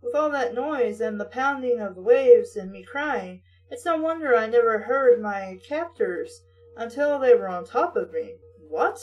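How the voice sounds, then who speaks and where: A high-pitched female voice, recorded at some distance from the mic. She reads a story aloud and keeps stepping out of it with mocking, questioning commentary. Her delivery is that of a sarcastic host talking back to the text. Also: expressive, measured pace, dull audio. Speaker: host reading stories aloud. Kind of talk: story reading with mocking asides.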